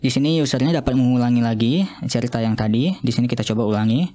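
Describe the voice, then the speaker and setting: A young man narrating an informal software demo as a student, speaking haltingly and repeating himself. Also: mid-range voice. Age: young adult. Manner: halting, repetitive. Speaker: student demonstrating own app.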